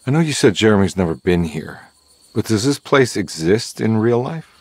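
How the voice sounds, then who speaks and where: An actor playing a wry, world-weary private detective in a video game, with a dry, sardonic manner. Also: male voice, fairly low pitch, animated, moderate pace, breathy edge. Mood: uneasy, dry. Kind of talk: cinematic game dialogue, noir detective.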